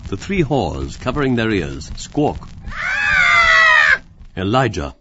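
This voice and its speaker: A man's voice: lowish voice, sing-song, slow, shouting, very noisy. A man narrating an audiobook, reading stage directions as scripted narration. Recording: dry but slightly muffled audio.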